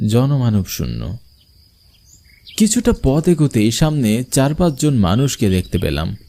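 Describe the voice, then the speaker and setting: A man playing a travelling bioscope showman, narrating an eerie cartoon story in the first person with expressive delivery. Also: mid-range voice. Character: travelling village bioscope showman telling his own eerie tale.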